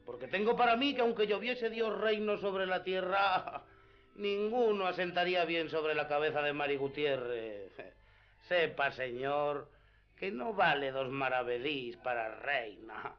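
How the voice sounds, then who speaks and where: An actor playing an earthy, simple peasant squire in a radio drama, eager, deferential and comic. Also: male voice, on the high side, measured pace, close to an everyday voice. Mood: doubting, amused. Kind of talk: classic radio drama acting. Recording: slightly dull radio drama with music.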